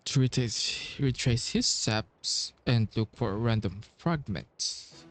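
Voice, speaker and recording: A man giving casual gameplay commentary as a streamer, musing in a halting way and repeating bits of dialogue. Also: mid-range voice. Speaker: game streamer.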